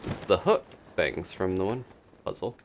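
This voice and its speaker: A man's voice: low voice, a little slow, gravelly, noisy background. A man giving casual gameplay commentary as a streamer, rambling as he thinks aloud. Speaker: game streamer.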